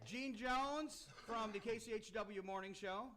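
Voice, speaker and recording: High-voiced man hosting in a noisy studio. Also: unhurried. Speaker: radio host and narrator. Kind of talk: live event hosting and rhymed radio play narration. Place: radio studio performance room. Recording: noisy live studio broadcast. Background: background noise.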